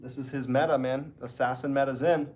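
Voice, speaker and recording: A man with a stuffy, congested nose talks to his stream in a loose, rambling way. He sounds relaxed and chatty, drifting from one thought to the next as he speaks from a hotel room. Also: medium pitch, brisk. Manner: rambling, relaxed, talking to chat. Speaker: esports caster. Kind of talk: casual livestream chat.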